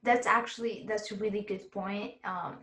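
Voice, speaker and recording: A woman's voice: medium pitch, steady tone, moderate pace, fairly bright tone, echoey room. A woman hosting an interview in casual chat, whose first languages are Arabic and French, talking in an encouraging way and laughing often. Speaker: interview host and exam tutor.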